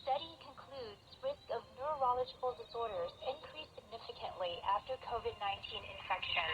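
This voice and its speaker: A woman presenter reading scripted news and announcements, heard through a shortwave radio broadcast. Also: on the high side. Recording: very noisy shortwave radio capture. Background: clear radio static and noise.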